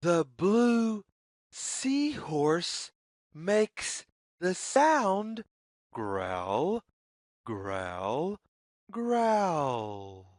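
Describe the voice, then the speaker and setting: A woman reads a picture story aloud for young children. She goes very slowly, spacing out her phrases, with a lively singsong melody that rises and falls widely. The sound is dry, with no room echo. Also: on the low side. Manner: deliberate, singsong, repetitive phrasing.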